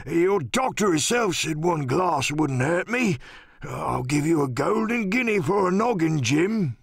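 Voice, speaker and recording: Gravelly, cantankerous old captain. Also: male voice, on the high side, animated, a little slow, no echo, clean studio sound, voice pushed up, exaggerated voice. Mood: pleading, agitated. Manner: rambling, salty seafaring talk, cursing. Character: sick, rum-craving old pirate sea captain, cantankerous and pleading. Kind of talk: audiobook radio-play character acting.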